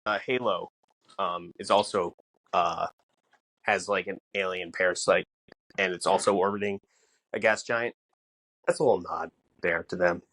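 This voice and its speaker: A man co-hosting a podcast, explaining things casually in halting, piecemeal phrases. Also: low voice, fairly even intonation, fairly bright tone, dry recording. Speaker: podcast co-host.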